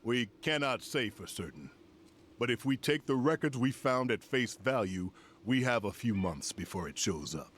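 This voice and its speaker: An actor playing a grave older general in a video game cutscene, speaking formally and with solemn, measured weight. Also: male voice, medium pitch, expressive, fairly bright tone, slight background noise, natural voice. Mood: solemn, resolute.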